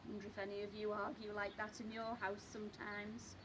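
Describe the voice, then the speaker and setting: A woman reading at storytime for young children, expressive and chatting directly to her listeners. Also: mid-range voice, brisk, background noise. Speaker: primary school storyteller.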